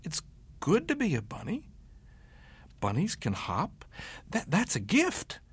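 A man voicing a tiny, lonely young male bunny who talks in a high, plaintive, sobbing voice. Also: wildly swooping pitch, slow, husky, exaggerated voice. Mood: comforted.

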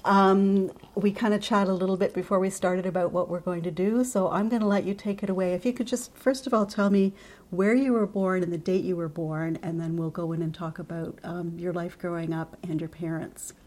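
A woman's voice: lowish voice, fairly even intonation, brisk, a little mellow. A woman conducting an oral history interview in a private home, speaking politely and encouragingly.

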